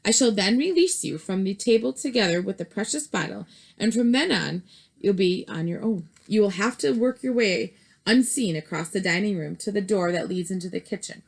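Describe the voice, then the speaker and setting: A woman doing a brisk, bossy grandmother. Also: mid-range voice, gravelly. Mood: urging. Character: loving older grandmother, brisk and commanding.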